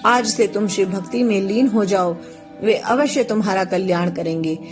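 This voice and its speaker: Woman plays kindly serene deity, gentle formal teaching, medium pitch, faint music underneath. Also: normal speed, lightly acted. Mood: consoling, instructive. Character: serene male god, kindly teacher to devotee.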